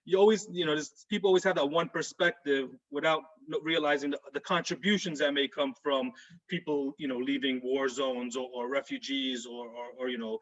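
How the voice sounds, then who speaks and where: A man hosting an interview, speaking quickly in a casual, hedging way and often restarting his sentences. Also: mid-range voice, fairly even intonation, fairly bright tone. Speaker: host. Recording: dull lo-fi sound.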